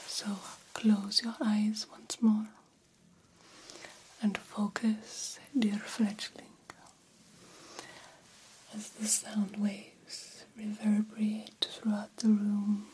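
A woman playing a soothing, formal female vampire doctor in a breathy ASMR whisper, speaking with deliberate, old-fashioned phrasing. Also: medium pitch, a little mellow, low-quality recording. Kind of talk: ASMR roleplay.

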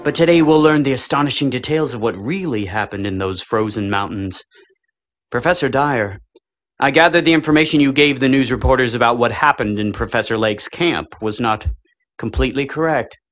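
An actor playing a radio interviewer who asks calm, probing questions. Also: male voice, medium pitch, moderate pace, close to an everyday voice. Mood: earnest.

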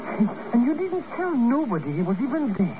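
An actor playing a jittery, slow-witted sidekick in a 1950s radio drama, puzzled and folksy. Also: male voice, extremely high pitch, sing-song. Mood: nervous, puzzled. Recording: noisy muffled old radio recording.

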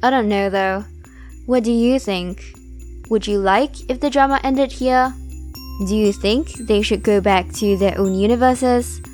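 A woman hosting a podcast, recapping a drama in a casual, thoughtful way, a little raspy and tired late at night. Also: medium pitch, a little slow, darkish tone, soft background music. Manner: thoughtful, musing. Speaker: podcast host.

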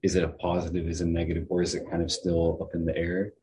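A man's voice: low voice, completely flat delivery, quick pace, mellow, a little hiss or hum. A young man interviewing a fellow student, asking loose, rambling questions full of fillers.

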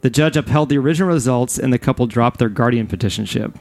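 A man's voice: mid-range voice, fast talker, vocal fry, darkish tone, no echo. A man hosting a podcast, relaying odd news stories in a casual, chatty style. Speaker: podcast host. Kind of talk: casual news-story banter.

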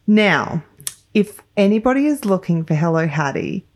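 A woman hosting a podcast, encouraging her guest with warm interjections. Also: mid-range voice, lively intonation, moderate pace. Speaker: podcast host.

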